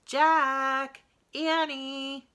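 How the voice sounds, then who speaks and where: A woman voicing a storybook mother who calls loudly to her children as if from afar. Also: high voice, flat delivery, extremely slow, voice pushed up, heavily acted. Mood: calling out. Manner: loud, drawn calling.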